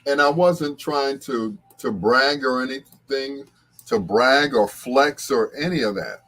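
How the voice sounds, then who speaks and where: A man's voice: mid-range voice, slow, fairly bright tone. A man hosting a casual livestream, talking to viewers in a rambling, conversational way. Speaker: livestream host.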